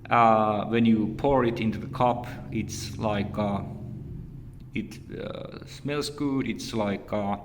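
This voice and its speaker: A man from Finland, a native Finnish speaker with a Finnish accent, giving a casual, unscripted review in a hesitant, halting and repetitive way. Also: on the low side, steady tone, a little hiss or hum. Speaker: video reviewer. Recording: echoey room with long reverb.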